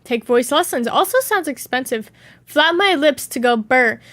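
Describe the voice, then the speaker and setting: High-pitched woman, bouncy intonation, giggly streamer, reads questions aloud. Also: expressive, moderate pace, a bit bright, dry recording. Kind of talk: casual live Q&A chat.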